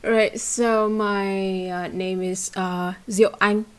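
Young vietnamese-accented woman chatting as a guest, halting, restarts a lot. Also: medium pitch, little pitch movement, a little hiss or hum. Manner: hesitant, many fillers and restarts. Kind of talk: casual interview chat.

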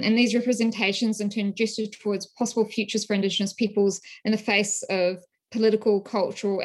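A woman from New Zealand with a New Zealand accent, a scholar speaking in a thoughtful, measured way with frequent ums. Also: medium pitch. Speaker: guest scholar. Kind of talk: academic interview.

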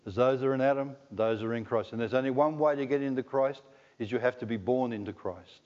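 A man's voice: mid-range voice, quick pace, a little mellow. Australian man, middle-aged, chatty joking preacher, dull lo-fi sound, long hall echo. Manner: conversational, joking, asks the congregation questions. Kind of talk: sermon. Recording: dull lo-fi recording in a reverberant hall.

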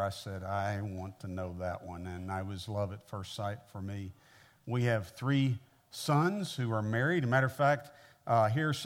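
A man in his sixties from the American Midwest with an American accent, preaching conversationally as a visiting pastor in a folksy, personable way. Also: medium pitch. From Midwestern United States (Missouri). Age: 60s. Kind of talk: conversational sermon to a congregation.

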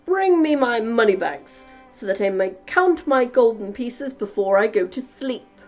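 A woman voicing a huge, greedy male giant in a storybook, booming and commanding, drawing words out like a menacing chant. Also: lively intonation, a little slow, a little mellow, faint music behind. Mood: bossy, demanding.

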